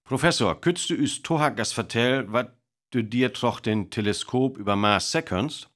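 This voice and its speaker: Earnest male radio reporter played by an actor for a radio play: North Frisian accent, mid-pitched, formal and deliberate live announcing. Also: fairly bright tone, natural voice. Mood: earnest, attentive.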